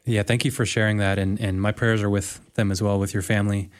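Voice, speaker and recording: Male podcast host, slightly low voice, polite and measured questions. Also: level tone, smooth. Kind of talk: interview questions.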